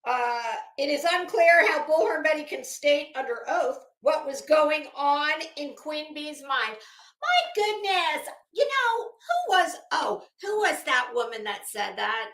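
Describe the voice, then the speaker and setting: A woman's voice: high-pitched, sing-song, unhurried, a bit bright. A woman hosting a livestream, giving a theatrical, sarcastic reading with off-the-cuff commentary. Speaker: livestream host. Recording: echoey, roomy sound.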